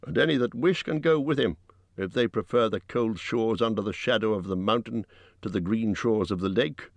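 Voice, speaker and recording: A man's voice: fairly low pitch, moderate pace, gravelly, no room sound, voice pushed up. A man voicing a cunning, self-serving old town master in a pompous, indignant, oratorical manner for a fantasy storybook. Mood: wary, dismissive.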